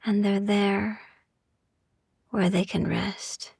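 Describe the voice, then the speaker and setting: A woman guiding a sleep meditation in a soft, gentle, soothing ASMR style, hushed and often slipping into whispers, with long pauses. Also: mid-range voice, little pitch movement, gravelly, husky, no room sound, studio quality. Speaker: sleep meditation podcast host.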